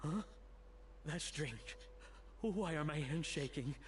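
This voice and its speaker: A man's voice: a little high, expressive, slow, a little hiss or hum. A man playing a dejected, self-doubting father and cook in a video game, speaking earnestly and hesitantly. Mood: bewildered, distressed. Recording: music under the voice.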